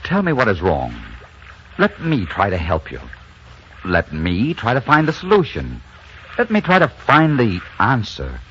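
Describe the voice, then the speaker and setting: A man's voice: fairly low pitch, huge pitch swings, unhurried, a bit bright, slight background noise, full character voice. An actor playing a tough, ardent romantic hero who narrates his adventure in dramatic 1940s radio style. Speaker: leading man and narrator. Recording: dull 1940s radio recording with music.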